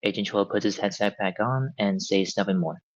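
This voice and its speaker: A man reading stage directions as narrator in a live table read, measured and descriptive. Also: on the low side, no echo, lo-fi sound.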